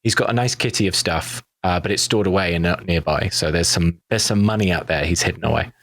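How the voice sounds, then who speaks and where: A man's voice: low voice, fairly quick, fairly bright tone. A man in a podcast cast, talking casually and informally. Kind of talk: casual chat.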